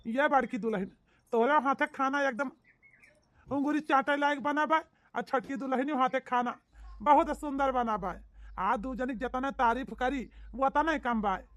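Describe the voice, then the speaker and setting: Woman voicing a high-pitched, emphatic cartoon village in-law. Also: normal speed, a bit bright. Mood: delighted. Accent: Awadhi. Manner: emphatic, repetitive, folksy. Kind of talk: comedy cartoon dialogue.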